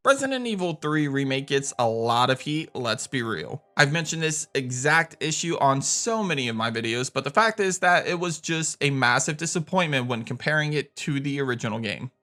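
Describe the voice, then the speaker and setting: A man's voice: medium pitch, animated, normal speed, hardly any pauses, pushed and bright. A man hosting a gaming countdown video, narrating in a conversational, opinionated way. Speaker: host of a gaming video channel. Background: background music.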